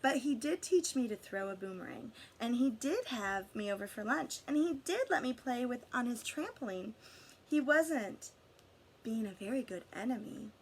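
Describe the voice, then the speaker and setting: A woman reading a picture book aloud expressively to children, pausing to guide them with questions. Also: fairly high pitch, expressive, slightly rough. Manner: pauses to question and guide young listeners. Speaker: read-aloud storyteller for children.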